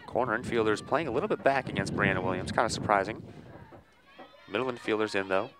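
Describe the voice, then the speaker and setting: A man calling a softball game live on the radio, measured between plays and ready to burst into excited calls. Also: on the low side, background noise. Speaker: play-by-play sports commentator.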